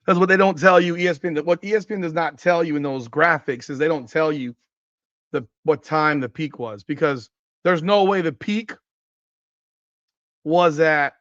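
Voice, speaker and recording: A man in his forties hosting a casual sports podcast, ranting and cursing, his voice jumping higher whenever he gets worked up. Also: normal speed, pauses often, fairly bright tone, no room sound, dull audio. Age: 40s. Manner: ranting and profane, voice jumping up in pitch when worked up. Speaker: podcast host. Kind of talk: casual sports talk livestream, reading chat comments.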